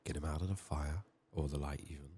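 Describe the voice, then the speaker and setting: An extremely low-pitched man speaks softly, close to the mic, as he plays a video game in ASMR style. He mutters short, unhurried remarks to himself, at times in a whisper. A light layer of background noise runs underneath. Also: steady tone. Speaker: video game player and streamer. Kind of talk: soft-spoken ASMR game commentary, partly whispered.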